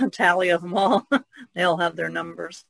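A man who paints, talking casually and a little haltingly in a podcast discussion. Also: sits high, creaky, fairly bright tone, no echo.

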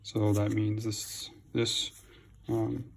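A man's voice: on the low side, extremely slow, creaky, slightly rough. A man in his home garage, casually explaining a repair step by step while filming close over his workbench. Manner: relaxed, plain-spoken, with ums and restarts. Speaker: home mechanic. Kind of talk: casual how-to narration.

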